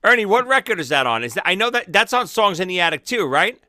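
A man co-hosting a podcast in casual chat, chatty and quick to laugh, a little nervous about his picks. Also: high voice, sing-song, sharp tone. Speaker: podcast co-host.

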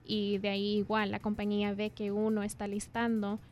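Woman agent, pretty monotone, drops english terms here n there. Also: medium pitch, faint music behind. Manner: measured, mixes English terms into Spanish. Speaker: insurance agent. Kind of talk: explanatory talk.

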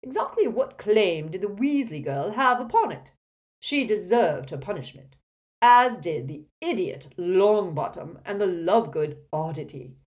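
A woman's voice: medium pitch, animated, measured pace, voice pushed up, lightly acted. A woman voicing a pompous, indignant old male wizard in a talking portrait, in an audiobook. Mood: haughty, indignant. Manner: haughty, supercilious, scornful. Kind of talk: audiobook character acting.

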